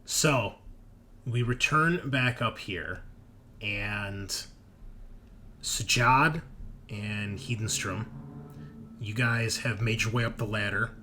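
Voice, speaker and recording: A man's voice: lowish voice, slow pace, pauses often, bright, forceful tone. A man narrating a tabletop roleplaying game as game master, conversationally, often checking in with his players. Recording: noisy background ambience.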